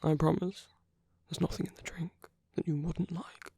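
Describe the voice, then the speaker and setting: A man playing a suave, sly aristocratic villain in an ASMR roleplay, speaking in a soft, breathy, often near-whispered voice with languid, teasing politeness and veiled menace. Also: fairly high pitch, level tone, normal speed, no room sound. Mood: teasing, seductive. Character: suave sly aristocratic villain, flirting and secretly scheming at a masquerade. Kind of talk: ASMR roleplay, intimate scripted acting.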